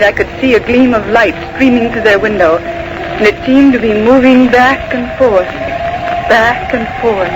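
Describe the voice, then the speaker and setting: An actress playing an anxious, devoted secretary in 1940s radio drama, earnest and melodramatic. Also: female voice, mid-range voice, close to an everyday voice. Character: anxious, devoted female secretary, fearful and pleading. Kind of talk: 1940s radio acting, dramatic first-person narration. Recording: noisy vintage 1940s radio recording.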